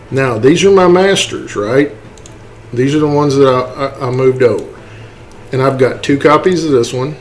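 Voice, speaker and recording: A man giving a casual, step-by-step software walkthrough, informally checking in with okay and right as he goes. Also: medium pitch, slight background noise. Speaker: tutorial presenter. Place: indoors, voice-over for a screen recording.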